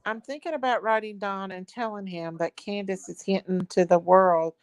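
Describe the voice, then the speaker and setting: A woman's voice: medium pitch, fairly even intonation, moderate pace, dry recording. A woman hosting a casual livestream, reading viewer comments aloud and greeting people by name. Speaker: livestream host.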